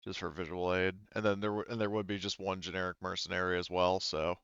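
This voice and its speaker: Bright, clear-voiced man running a tabletop game. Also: low voice, flat delivery, quick pace, no echo, muffled recording. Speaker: game master. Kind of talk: casual tabletop roleplaying game narration.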